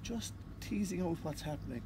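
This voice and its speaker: A man with an Irish accent, a psychotherapist answering interview questions in a calm, measured way. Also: sits high, noisy background. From Ireland. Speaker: psychotherapist and constellations facilitator.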